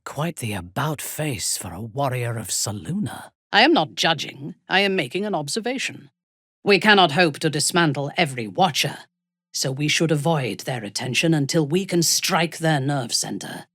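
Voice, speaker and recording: A woman playing a haughty, ruthless dark elf warrior in a video game, speaking in an imperious, deliberate, measured way. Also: low voice, expressive, slightly rough, no room sound, studio quality, exaggerated voice. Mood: dry, dismissive. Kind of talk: dramatic video game character acting.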